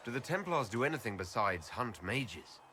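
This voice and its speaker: A man's voice: mid-range voice, sing-song, normal speed, a little hiss or hum. An actor playing a courteous, inquisitive male adventurer who leads an order in a fantasy video game. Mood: curious. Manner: inquisitive, gently teasing.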